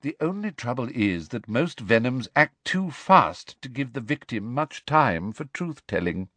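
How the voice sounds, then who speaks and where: A man voicing a cold, sardonic male professor who speaks smoothly and with contempt. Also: mid-range voice, big pitch swings, a little slow, sharp tone, no room sound, pitched above the performer's own voice, heavily acted. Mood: icy sardonic.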